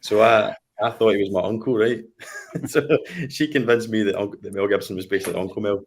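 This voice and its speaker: A man's voice: on the low side, steady tone, fairly quick, a little hiss or hum. A Scottish man in his late thirties, chatting casually about films and telling a laid-back family story with easy laughs. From Scotland. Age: late 30s. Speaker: podcast guest. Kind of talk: casual film discussion.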